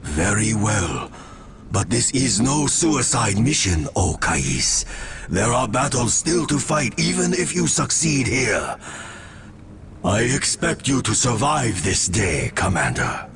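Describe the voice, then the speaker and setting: Deep, solemn alien leader. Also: male voice, sing-song, vocal fry, exaggerated voice. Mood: grave, concerned. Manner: solemn, formal, deliberate. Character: grave, wise alien leader, authoritative and dutiful. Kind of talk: video game dialogue acting.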